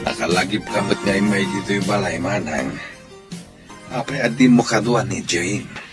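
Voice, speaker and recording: A man with an Ilocano accent playing a struggling, worried father in a radio drama, speaking slowly and heavily. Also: lowish voice, over loud music, natural voice. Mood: surprised. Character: struggling middle-aged father, worried and weary.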